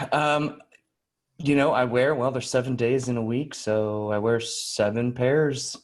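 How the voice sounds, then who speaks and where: A man's voice: medium pitch, fairly even intonation, slow, natural voice. Hesitant, polite male job applicant played by a man. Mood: flustered, apologetic. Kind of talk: improvised sketch comedy.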